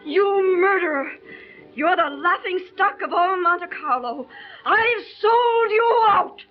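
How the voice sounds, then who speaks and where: A woman's voice: extremely high pitch, animated, slow pace, soft background music, exaggerated voice. An actress in a 1930s radio drama playing a vengeful young woman who sobs and shrieks in theatrical fury. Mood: hysterical. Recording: muffled 1930s radio transcription.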